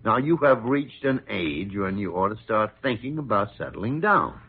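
An actor playing a crusty, meddling old senior doctor who blusters and grumbles, in 1940s radio style. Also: male voice, on the low side, big pitch swings, normal speed, narrow, tinny audio, exaggerated voice. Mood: coaxing. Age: older. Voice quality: gravelly, raspy. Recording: old radio drama recording.

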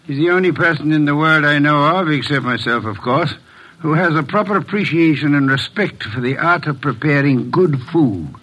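A man plays a ponderous, self-important detective in an old radio mystery. His voice sits fairly high for a man, and he grumbles in long, formal sentences, balking at being hurried. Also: fairly bright tone, dull audio, a little hiss or hum, natural voice. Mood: pompous, dry. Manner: pompous, grumbling, formal wordy speech. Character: bulky, balky, pompous genius detective who loathes hurry. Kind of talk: 1940s-50s American radio drama acting.

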